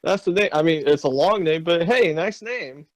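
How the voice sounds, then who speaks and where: A man's voice: fairly high pitch, lively intonation, moderate pace, no room sound. A man making dry jokes and laughing as a co-host in casual banter.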